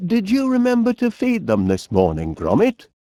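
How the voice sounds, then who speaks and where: An actor playing a cheery, absent-minded middle-aged inventor with a Northern English accent, bumbling and chatty in an animated comedy game. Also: male voice, high-pitched, moderate pace, no room sound, studio quality, full character voice. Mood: excited. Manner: bumbling, chatty, hesitant, mild exclamations.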